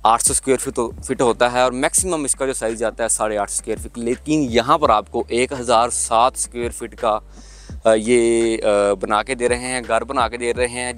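Chatty Pakistani property agent on a site tour. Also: male voice, mid-range voice. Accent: Pakistani Urdu. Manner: salesy, addresses viewers directly, frequent "uh" fillers. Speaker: real estate agent presenting a housing project. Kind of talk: property tour walkthrough to camera. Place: outdoors at a construction site, then inside an unfinished house and on its roof. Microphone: handheld mic.

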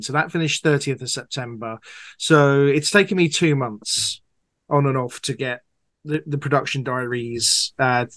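A man's voice: medium pitch, fairly bright tone, no room sound. A man giving conversational, explanatory answers as an author being interviewed, talking at length.

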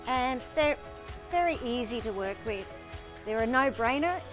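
A woman's voice: a little high, animated, measured pace. A middle-aged woman with an Australian accent, explaining a service in an upbeat, enthusiastic way in a promotional interview. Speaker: shop owner being interviewed. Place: stockroom of a shop, later outdoors by bins. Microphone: lapel mic. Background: background music.

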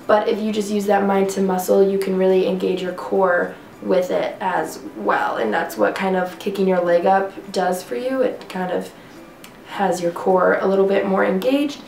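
Congested, chatty young woman with gravelly fry. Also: mid-range voice, darkish tone, slight background noise. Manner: chatty, rambling with many fillers. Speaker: fitness vlogger. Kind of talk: casual chat to camera. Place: living room at home. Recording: echoey room.

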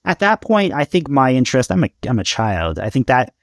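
A man in his thirties from Canada, a podcast guest, talking in a thoughtful, halting way with many fillers over a video call with headphones at home. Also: mid-range voice, big pitch swings, no echo, studio quality. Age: 30s. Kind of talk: casual interview talk. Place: home room with shelves of CDs.

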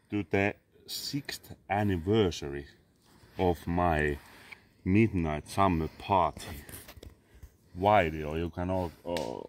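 A man in his thirties with a Finnish accent, vlogging in halting English full of fillers and swearing, outdoors in a garden on a handheld phone. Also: sits low, a little mellow. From Finland. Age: 30s. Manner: halting, many "uh" fillers, swearing, sipping a drink. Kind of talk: casual rambling selfie vlog. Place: garden among trees, outdoors. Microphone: phone held at arm's length.

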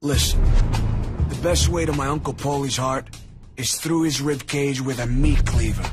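A young mobster antihero from a noir video game, acted by a man, deadpan and cynical. His voice sits at a middle pitch, with clear music underneath. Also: moderate pace, natural voice. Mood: dry, grim. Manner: deadpan, cool, sardonic.

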